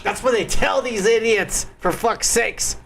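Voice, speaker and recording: A man's voice: high voice, a little slow, fairly bright tone, soft background music. A man hosting a live stream, ranting crudely and angrily in profane political commentary.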